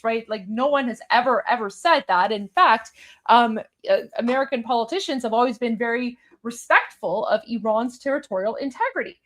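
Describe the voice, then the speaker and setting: A woman with a Toronto accent hosting a live show, commenting on a clip in an animated way, repeating her points with many fillers. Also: fairly high pitch. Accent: Canadian, Toronto. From Canada. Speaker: host.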